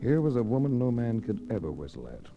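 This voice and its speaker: A man's voice: medium pitch, big pitch swings, fast talker, vocal fry, over music. An American man playing a cool, wry private investigator in a 1950s radio drama, with a dry, sardonic manner. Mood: wry narration. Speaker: lead actor and first-person narrator. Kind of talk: 1950s radio detective acting. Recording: muffled, noisy vintage radio recording.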